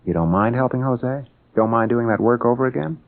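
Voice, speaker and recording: A man's voice: medium pitch, brisk, gravelly, natural voice. An actor playing a mild, earnest middle-aged father with an American accent in an old-time radio drama. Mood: gentle, thoughtful. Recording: tinny, band-limited old radio broadcast.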